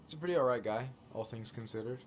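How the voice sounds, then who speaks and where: An actor playing a friendly, open-hearted young man, talking warmly and casually in a naturalistic video game style. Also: medium pitch, quick pace, a little hiss or hum, close to an everyday voice. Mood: sincere, casual. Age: young adult. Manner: warm, casual, earnest.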